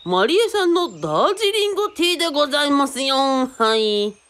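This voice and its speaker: A woman's voice: high-pitched, big pitch swings, fairly quick, a bit bright. A woman playing a boastful, eccentric rich guru in a radio drama, pompous and giggly, with mock traditional-storyteller phrasing. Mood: pompous, teasing.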